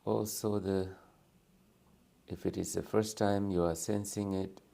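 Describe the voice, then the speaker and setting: A man with a very low, gravelly voice guides a meditation. He speaks gently and soothingly, leaving long pauses between short phrases. His tone is dark and mellow. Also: little pitch movement. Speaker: meditation teacher.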